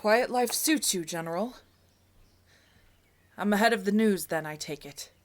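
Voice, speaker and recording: A woman's voice: medium pitch, animated, unhurried, close to an everyday voice. A woman playing a loyal, urgent palace informant in a fantasy audio drama, speaking in an earnest, conspiratorial way. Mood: wry, guarded.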